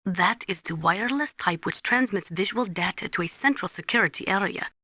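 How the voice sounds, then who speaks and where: A woman playing a tough, earnest Ukrainian nuclear weapons analyst in a video game, speaking deliberately and instructively with a Ukrainian accent. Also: medium pitch, lively intonation, pushed and bright, husky, dry recording, clean studio sound. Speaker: military analyst and nuclear specialist. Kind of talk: scripted game voice acting, briefing.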